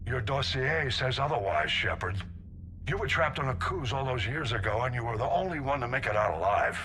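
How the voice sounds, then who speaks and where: An actor playing a gruff, seasoned older navy admiral in a video game, blunt and authoritative. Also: male voice, mid-range voice, very animated, fairly quick, sharp tone, a little hiss or hum. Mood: earnest, rousing. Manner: blunt, authoritative military briefing delivery. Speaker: military admiral. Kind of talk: scripted video game acting.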